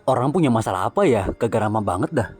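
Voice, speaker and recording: A man's voice: lowish voice, lively intonation, racing, darkish tone, slightly rough. A man with a colloquial Jakarta accent giving casual gameplay commentary. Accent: Indonesian, colloquial Jakarta. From Indonesia. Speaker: gameplay streamer. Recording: game music behind voice.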